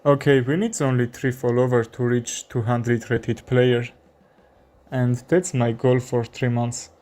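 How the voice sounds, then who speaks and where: A young man with an Armenian accent commentating his own chess game, thinking aloud with frequent fillers and pauses. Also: mid-range voice, level tone, darkish tone. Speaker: chess streamer. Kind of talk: live commentary while playing chess.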